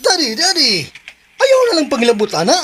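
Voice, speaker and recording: A man playing an excitable, anxious son in a Filipino radio drama, with a Cebuano accent. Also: very high voice, fairly quick, very noisy, heavily acted. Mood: alarmed.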